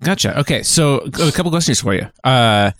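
A man co-hosting a podcast in relaxed, conversational back-and-forth. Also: mid-range voice, normal speed, no room sound, clean studio sound. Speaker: podcast co-host. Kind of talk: casual podcast chat.

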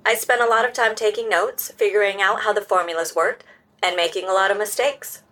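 A woman's voice: medium pitch, fairly even intonation, a little fast, pushed and bright, natural voice. Woman acting as a polite, precise caring robot. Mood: friendly, explaining. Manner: precise, polite, formal wording. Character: earnest, curious, caring health-monitoring robot. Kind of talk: audio drama acting.

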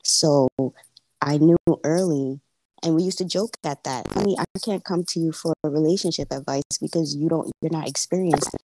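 A woman's voice: sits low, moderate pace, mellow, no echo, poor audio. A woman in her twenties from the United States, a caller on a show, who talks in a hesitant, wordy way with many ums. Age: 20s. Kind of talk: casual conversation.

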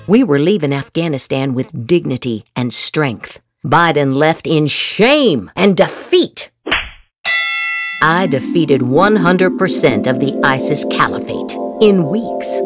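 A woman podcast host reading political social media posts aloud dramatically, with theatrical, exaggerated inflection. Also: fairly low pitch.

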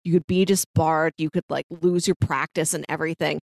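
A woman's voice: sits low, fairly even intonation, brisk, vocal fry, a little mellow, no room sound. A woman co-hosting a podcast, casually discussing a story and acting out bits of dialogue as she retells it. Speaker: podcast co-host. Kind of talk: casual discussion of an audio drama.

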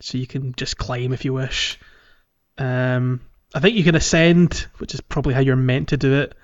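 A man's voice: medium pitch, little pitch movement, moderate pace, lo-fi sound. A man co-hosting a podcast, chatting casually about a video game in a hesitant, chuckly, conversational way. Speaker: podcast co-host.

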